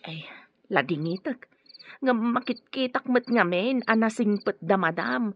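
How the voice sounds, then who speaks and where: A flustered, deferential maid in a radio drama, with an Ilocano accent. Her high voice rises and falls expressively, plaintive and hesitant, on dull audio with some noise. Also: lively intonation, measured pace. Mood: apologetic, fretful. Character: flustered, deferential housemaid complaining of her spoiled young mistress. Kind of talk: Filipino radio drama acting.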